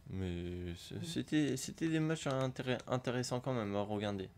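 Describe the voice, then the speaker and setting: A man's voice: mid-range voice, normal speed, a little mellow, slight background noise. A man with a cold chatting casually while opening packs in a football video game, rambling with many hesitations. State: has a cold, hoarse. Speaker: gaming youtuber. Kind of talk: casual pack-opening commentary.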